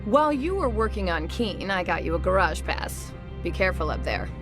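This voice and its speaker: A woman's voice: medium pitch, sing-song, a little fast, close to an everyday voice. An actress plays a tough undercover investigator in a video game, a woman with a brusque, businesslike way of talking. Her mid-range voice carries a dry, wry edge, with music playing behind it. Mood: relieved, wry. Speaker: internal affairs agent. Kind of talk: video game dialogue acting.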